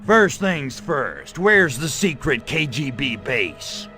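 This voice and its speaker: Game alien invader: slow, swaggering drawn-out sarcasm, forceful edge, wisecracking menace. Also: male voice, mid-range voice, sing-song, soft background music, exaggerated voice. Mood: sarcastic, irritated. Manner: sarcastic, swaggering, slangy delivery. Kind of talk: video game cutscene voice acting.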